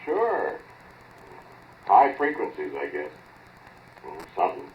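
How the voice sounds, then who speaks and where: Bossy inventor, clipped lines with lively pitch, vintage radio drama, slightly noisy dull sound. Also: male voice, slow, full character voice. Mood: brusque. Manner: terse, bossy. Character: impatient, brusque male inventor in charge. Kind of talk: 1940s radio acting.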